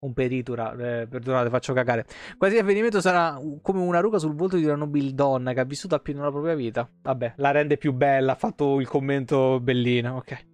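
A man's voice: mid-range voice, fast, few pauses, no echo. A man, livestreaming as he reads game lore aloud expressively, with offhand asides and self-corrections. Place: indoor room, webcam livestream. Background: soft guitar game music.

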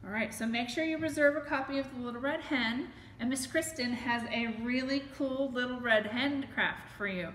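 A woman's voice: high-pitched, moderate pace, hardly any pauses, a bit bright. A middle-aged woman reading a picture book aloud to children and putting questions to them as she goes. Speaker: librarian reading a children's story. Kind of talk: animated story time read-aloud with questions to children. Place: library room with bookshelves, indoors. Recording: echoey room with faint background noise.

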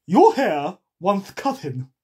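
A woman voicing a blunt, eccentric, argumentative man in a storybook, loud and drawn-out. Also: fairly low pitch, very animated, slightly rough, pitched above the performer's own voice, exaggerated voice. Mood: blunt, odd.

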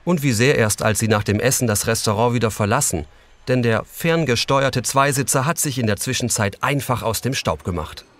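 A man's voice: mid-range voice, moderate pace, fairly bright tone. A man narrating a children's story as a scripted audio drama narrator.

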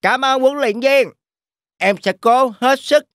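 A woman's voice: sits high, slow pace, no room sound, clean studio sound. A woman voicing an earnest young kid goat in a cartoon, in a childlike manner. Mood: eager.

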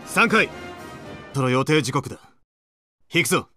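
An actor playing a stern, decisive military captain in a video game, terse and commanding. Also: male voice, a little high, lively intonation, unhurried, pushed and bright, soft background music. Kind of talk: video game cutscene acting.

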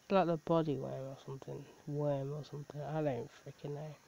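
A woman's voice: deep voice, little pitch movement, brisk, creaky, dark tone. A woman giving blunt, incredulous reaction commentary, swearing and laughing as she talks. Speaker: video reaction host. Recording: dull lo-fi sound with faint noise.

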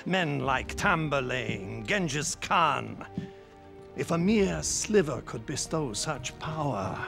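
An aged, solemn mentor from a video game. His voice sits a little high for a man and moves very slowly, formal and portentous, over clearly audible music. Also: lively intonation, fairly bright tone, exaggerated voice. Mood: solemn, urgent. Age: older. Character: old male expedition survivor, wise, grave village mentor. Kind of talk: dramatic video game cutscene acting. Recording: clear music under the dialogue.

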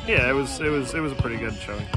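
A man in his thirties, a soccer coach giving casual, measured post-match answers outdoors, repeating words as he gathers his thoughts. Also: mid-range voice, over loud music. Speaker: high school soccer coach. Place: outdoor stadium trackside.

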